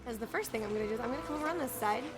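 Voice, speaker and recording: A woman's voice: fairly high pitch, racing. A woman game streamer giving casual, chatty live commentary and talking back to the characters. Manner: chatty, reacts to the dialogue as if in it. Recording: game music behind the voice. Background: video game music.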